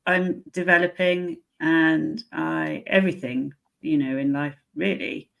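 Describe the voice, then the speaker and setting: A woman with a gravelly, fry-laced voice co-hosts a casual podcast chat. She answers bluntly and confidently, in a matter-of-fact way. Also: sits low, steady tone, unhurried. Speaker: podcast co-host.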